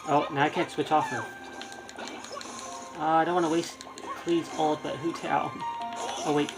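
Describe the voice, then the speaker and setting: A man's voice: on the high side, flat delivery, a little slow, vocal fry, poor audio. Man rambling through live game commentary, music behind. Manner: rambling, thinking aloud. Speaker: game streamer. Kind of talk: casual live gameplay commentary.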